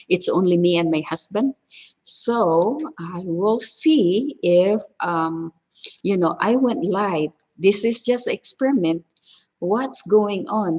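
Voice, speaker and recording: A woman's voice: fairly low pitch, a little mellow, slightly rough, rough recording. A middle-aged woman from Cebu in the Philippines with a heavy Filipino accent, chatting casually on a livestream from her kitchen with lots of fillers. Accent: Filipino (Cebuano). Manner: chatty, rambling, many fillers. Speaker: home cooking vlogger. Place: her home kitchen, indoors.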